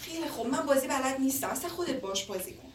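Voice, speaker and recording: A woman's voice: high-pitched, expressive. An actress playing a caring, worn-out young woman looking after her father, soothing and pleading, in a naturalistic drama. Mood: exasperated. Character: caring, worn-out grown daughter of an old man. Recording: echoey, noisy room, distant mic.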